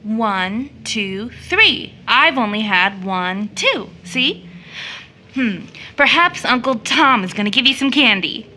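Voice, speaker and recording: A woman playing a doting, playful young woman who teases and gently corrects in an audio drama. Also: fairly high pitch, lively intonation, takes it slowly, a little hiss or hum, exaggerated voice. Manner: teasing, gently correcting, fond. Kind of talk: stage play audio drama acting.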